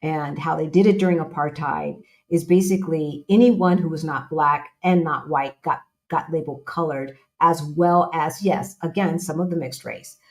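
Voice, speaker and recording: A woman with a low voice hosts a relaxed live-stream chat. She talks in a loose, chatty way, repeats herself for emphasis and drops casual slang as she goes. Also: moderate pace, few pauses. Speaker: host.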